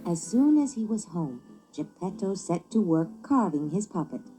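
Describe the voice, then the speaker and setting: A woman reading a children's story as scripted narration, with an expressive, theatrical delivery. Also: on the low side, normal speed, faint music behind.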